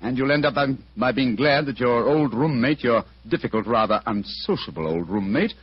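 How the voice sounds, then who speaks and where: A wry, stubborn detective in an old radio mystery. His mid-pitched voice moves with lively, theatrical swings and formal phrasing. The recording sounds dull. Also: moderate pace, no room sound. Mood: wry, amused. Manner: crisp, formal, amused, animated. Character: urbane, wry, stubborn detective set on retirement. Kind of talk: old-time radio drama acting.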